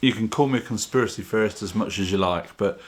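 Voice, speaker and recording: Low-voiced man chatting casually to camera. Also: quick pace. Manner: chatty, informal, plain-spoken. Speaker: video channel host.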